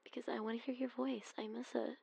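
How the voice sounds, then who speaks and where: A woman whispers close and soft, her voice husky and full of gravelly fry. She keeps her tone narrow and even, sighing between phrases as in an ASMR roleplay. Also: on the high side, quick pace. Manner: soft breathy whispering with sighs.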